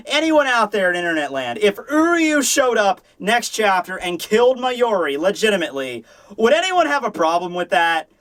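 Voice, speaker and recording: A man hosting a manga review, talking in a casual, opinionated, rambling and animated way with casual swearing. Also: sits high. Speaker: host of a manga review show.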